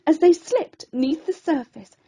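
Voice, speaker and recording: A woman storyteller reading a rhyming children's bedtime story in an expressive, theatrical and deliberate way. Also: high voice.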